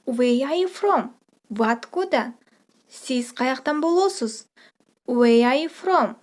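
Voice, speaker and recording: A woman with a high voice hosts a language lesson. She speaks as a teacher, setting out short phrases and their translations, then repeating them with care for learners. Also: lively intonation, slow pace. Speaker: language teacher, channel host. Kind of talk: scripted trilingual language lesson.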